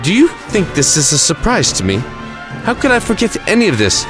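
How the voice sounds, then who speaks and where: A man's voice: high-pitched, wildly swooping pitch, a little fast, music in the background. A man playing a sardonic, fatalistic time-travelling alien lord in an audio drama, wry and flippant in manner. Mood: flippant, defiant.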